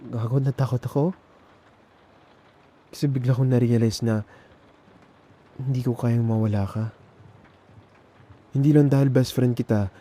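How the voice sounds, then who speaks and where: A man with a Filipino accent playing a nervous, tender young man in an intimate ASMR boyfriend roleplay, speaking haltingly and repeating words. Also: medium pitch, fairly even intonation, mellow, close to an everyday voice. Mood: hesitant, tender. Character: nervous, tender young man confessing love to male best friend. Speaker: ASMR audio roleplay performer.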